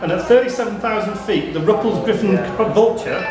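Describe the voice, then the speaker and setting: High-voiced male quiz master in echoey pub. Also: brisk. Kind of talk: live pub quiz question reading. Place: indoor pub quiz venue. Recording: echoey crowded pub room. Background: clear crowd chatter.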